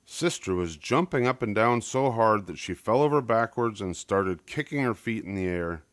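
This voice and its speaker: A man's voice: lowish voice, expressive, moderate pace, no echo, studio quality. An older man narrating a children's picture book as a scripted story reading.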